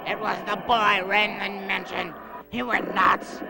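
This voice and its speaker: A performer voicing a frightened, despairing survivor in a homemade audio drama, halting and stammering, over loud tape hiss. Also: medium pitch, fairly even intonation, creaky. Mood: agitated. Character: despairing survivor left to die.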